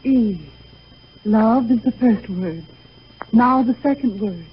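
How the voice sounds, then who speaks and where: A woman's voice: a little high, takes it slowly. An actress playing a solemn, questioning woman at a séance in a 1930s radio drama. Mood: solemn, expectant. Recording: noisy old 1930s radio recording, muffled.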